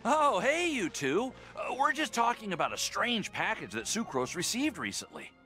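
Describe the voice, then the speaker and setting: A nerdy young game alchemist, friendly and eager. His voice is bright and on the high side, very animated, with a sheepish edge. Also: normal speed, soft background music, heavily acted. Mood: chatty, friendly. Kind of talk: video game voice acting.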